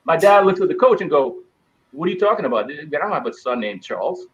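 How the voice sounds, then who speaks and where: A middle-aged man tells stories and acts out the voices of the people in them. His voice sits slightly high. The sound is a little dull and muffled. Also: fast. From Bahamas. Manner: animated storyteller acting out quoted voices. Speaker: guest, former basketball player. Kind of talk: storytelling interview.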